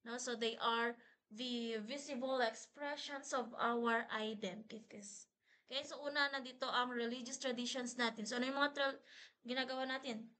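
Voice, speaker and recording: A woman's voice: on the high side, fairly bright tone. A woman with a Filipino accent lecturing an online class, switching between English and Tagalog and checking in with frequent "okay?"s. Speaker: teacher.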